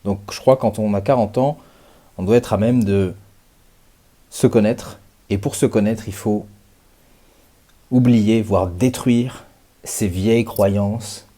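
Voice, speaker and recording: A man in his late thirties giving a reflective, measured monologue to his viewers, pausing often and stretching out words. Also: on the low side, mellow. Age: 30s. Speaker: channel host.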